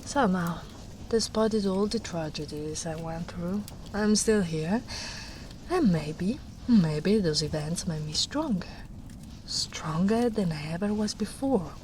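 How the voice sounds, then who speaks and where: A big, wistful ogress, played by a woman, speaks in a lowish, very unhurried voice for an ASMR roleplay. She is tender and protective, soft and often whispering, over clearly audible background ambience. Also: expressive, slight background noise. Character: gentle big female ogre, wistful and protective.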